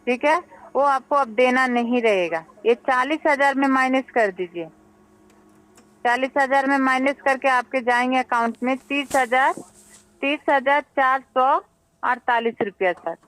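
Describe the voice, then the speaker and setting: A smooth, clear-voiced woman with an Indian accent speaks as a customer service agent on a recorded phone call. She is polite and somewhat halting, going over figures again and often checking that the listener follows. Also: high-pitched, a bit bright. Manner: polite, repeatedly checks understanding. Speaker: loan company call centre agent.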